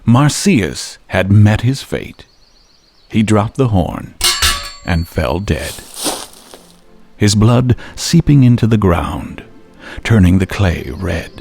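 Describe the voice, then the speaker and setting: A man narrating a radio drama as a theatrical storyteller, with measured, dramatic phrasing. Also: fairly low pitch, lively intonation, vocal fry, music in the background.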